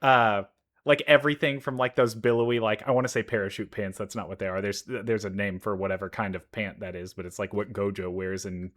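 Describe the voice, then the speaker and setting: A man giving casual, enthusiastic reaction commentary, halting as he thinks aloud. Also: on the low side, a bit bright. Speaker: reaction video host, gamer.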